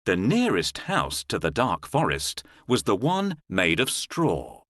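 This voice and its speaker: Deep, very expressive male storyteller. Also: takes it slowly, slight background noise. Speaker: storybook narrator. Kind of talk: scripted fairy tale narration for children.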